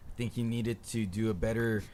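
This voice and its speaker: Low-voiced man giving earnest feedback. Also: level tone, darkish tone, slight background noise. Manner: earnest, measured, constructive. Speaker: panelist. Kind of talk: casual music critique.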